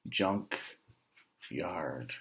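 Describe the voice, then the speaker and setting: An American man reading a picture book aloud to children, deliberate, with chatty asides and rhetorical questions. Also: sits low, animated, a bit bright.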